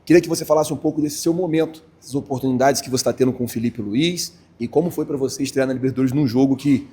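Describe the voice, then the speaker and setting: Fast, husky man reporter. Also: medium pitch, warm tone. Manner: long, wordy questions. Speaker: sports reporter. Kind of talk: post-match interview questions.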